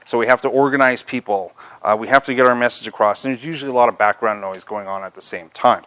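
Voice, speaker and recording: A man's voice: medium pitch, fast, fairly bright tone, no room sound. A man presenting a product review, talking through features in an unscripted, conversational, explanatory way.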